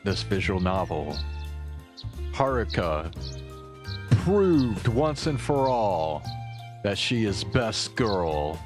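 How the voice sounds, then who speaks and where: Male game streamer: very slow, low voice, laid-back casual chat, music underneath. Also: very animated. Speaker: game livestreamer.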